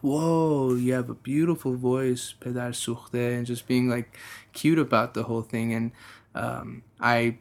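A man's voice: mid-range voice, vocal fry. A young man from the United States, a guest musician, speaking in a casual interview with a thoughtful manner and frequent restarts.

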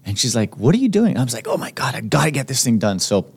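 A man's voice: mid-range voice, very animated, fast, husky. A man hosting a casual podcast, teasing his guest and laughing easily. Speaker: podcast host and teacher. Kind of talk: casual interview chat.

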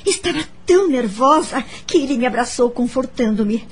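A woman playing a gentle, wounded but forgiving adult daughter who recounts her family past in a radio drama, measured and heartfelt. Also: high voice, lively intonation, slightly rough. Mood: earnest, uneasy. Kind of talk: dramatised first-person narration, radio drama. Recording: background music under the voice.

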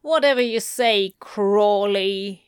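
A woman puts on a menacing demon nobleman for a storybook reading. He is slimy and leering, taunting with slow relish. The recording is dry. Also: medium pitch, clear, resonant tone, dry recording, close to an everyday voice. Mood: cold.

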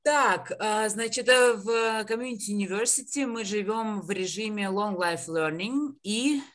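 A woman's voice: medium pitch, measured pace, fairly bright tone. Playful, laughing young woman guest. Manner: laughs often, playful and self-mocking. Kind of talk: casual radio chat.

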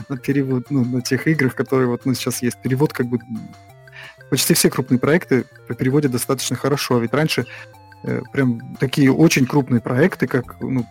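A man co-hosting a casual podcast about games, talking in halting bursts full of fillers and restarts. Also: medium pitch, a little mellow, breathy edge. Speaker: podcast co-host.

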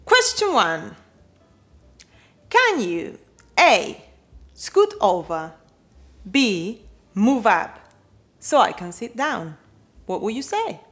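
Animated higher-pitched woman presenting a quiz. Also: very slow, long pauses, faint music behind. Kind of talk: scripted presenter narration, quiz reading.

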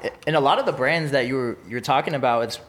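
A man from West Covina, California, hosting a podcast in casual interview chat, easygoing and quick to agree and laugh along. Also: mid-range voice, a bit bright. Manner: easygoing, laughs often, short agreeing replies. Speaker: podcast host.

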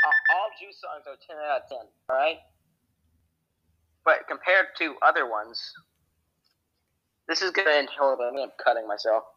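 A man's voice: a little high, moderate pace, long pauses, sharp tone, breathy edge. Boastful joking young man. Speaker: podcast guest. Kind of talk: casual podcast banter. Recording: dull lo-fi sound.

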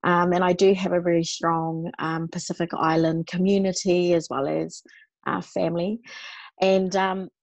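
A woman from New Zealand, a guest on a podcast, telling her story in a relaxed, reflective way with many fillers. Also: on the low side, normal speed, gravelly, no echo. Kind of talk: personal storytelling in conversation.

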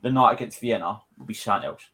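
A man's voice: on the low side, animated, racing, pushed and bright. A man hosting a casual football discussion, speaking emphatically and repeating his phrases for effect. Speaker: podcast host leading discussion.

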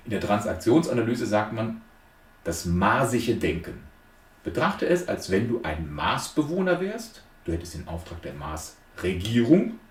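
A man's voice: lowish voice, very animated, measured pace. A man giving an explanatory lecture, laying out numbered points emphatically and posing rhetorical questions. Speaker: lecturer on transactional analysis. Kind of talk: explanatory lecture retelling a fairy tale. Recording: echoey room, distant microphone.

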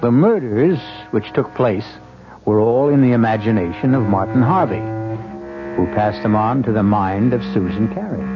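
A man hosting a radio mystery series, giving scripted theatrical narration in a deliberate, wry manner. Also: medium pitch. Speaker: host of a radio mystery anthology. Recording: dull 1970s radio, music behind.

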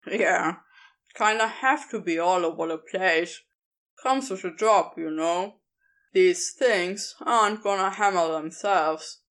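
A woman's voice: lowish voice, slow pace, fairly bright tone, voice pushed down. A woman voicing a laid-back, cheeky man with a casual, easygoing drawl in a story reading. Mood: offhand.

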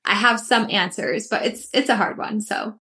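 A woman's voice: mid-range voice, normal speed, bright, forceful tone. A woman hosting a podcast who asks her guest questions in a friendly, upbeat manner and laughs easily. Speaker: podcast host. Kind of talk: casual interview chat.

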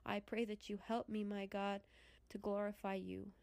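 A woman hosting a church podcast, her voice stuffy and congested from a cold. She speaks in an earnest, prayerful way with a gravelly rasp and a flat, steady delivery. It sounds dry and close, with no room echo. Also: mid-range voice, moderate pace. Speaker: podcast host. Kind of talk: closing remarks and spoken prayer.

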